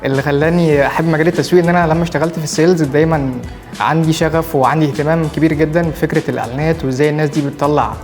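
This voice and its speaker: Fast-talking Egyptian man, late twenties. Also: a little high, steady tone, darkish tone. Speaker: intern. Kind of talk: testimonial interview. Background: music.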